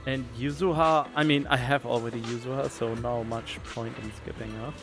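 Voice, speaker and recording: A man's voice: medium pitch, fairly even intonation, normal speed, music in the background. A man streaming a game with casual, rambling commentary, thinking aloud as he chats. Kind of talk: casual chatty livestream commentary.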